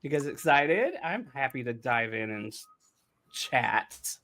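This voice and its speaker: A man's voice: mid-range voice, very animated, slow pace, bright, forceful tone. A man hosting a casual behind-the-scenes podcast conversation, talking in a chatty, jokey way. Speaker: podcast host and showrunner.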